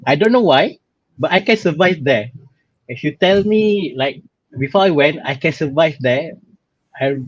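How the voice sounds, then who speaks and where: A man's voice: a little high, no echo. A young Malaysian-accented man informally presenting his own experience as a guest, in a hesitant way with frequent fillers. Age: young adult. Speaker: guest speaker, exchange student alumnus. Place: video call. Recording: slightly dull, lo-fi online-call audio.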